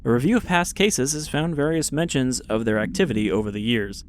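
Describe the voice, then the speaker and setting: A man's voice: mid-range voice, fairly quick, slight background noise. Casual young man reading game files aloud. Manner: casual, rambling. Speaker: let's play host.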